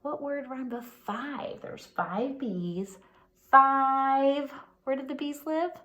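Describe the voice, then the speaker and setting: A woman reading a picture book aloud for young children in an expressive, singsong way, pausing to ask questions like a pre-K teacher. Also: high voice.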